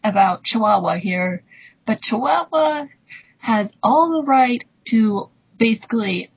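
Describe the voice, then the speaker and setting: A woman's voice: fairly high pitch, animated, slow pace, slightly rough. A woman giving blunt, scornful and dismissive casual commentary as a co-host, on a dull lo-fi microphone. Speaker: co-host commenting on online arguments.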